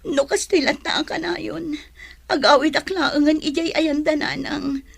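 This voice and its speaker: Lady acting as weepy young wife in a radio drama, super high pitched, plaintive, really dry close sound. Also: fairly even intonation, a little slow, gravelly, dry recording. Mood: tearful complaint. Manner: tearful, plaintive. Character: distressed young pregnant wife, wronged by her in-laws.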